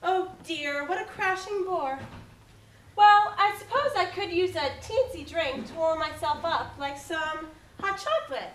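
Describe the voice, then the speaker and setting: A woman's voice: very high-pitched, unhurried, a bit bright, echoey room, a little hiss or hum, low-quality recording. A woman playing a pampered, snobbish society woman, with an affected and theatrical drawl. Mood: haughty.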